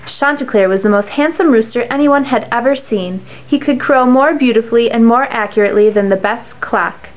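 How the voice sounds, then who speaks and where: A woman's voice: on the high side, normal speed, few pauses, rough recording. A young woman reading storybook narration aloud for a class puppet show.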